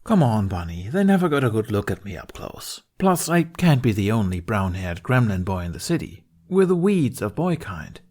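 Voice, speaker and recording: Man voicing an excitable, cheeky teenage boy. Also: lowish voice, moderate pace, hardly any pauses. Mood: protesting.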